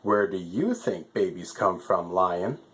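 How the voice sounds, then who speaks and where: Man voicing a sensible, unhurried female elephant. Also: deep voice, expressive, fairly bright tone, a little hiss or hum. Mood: questioning. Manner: reasoning, matter-of-fact.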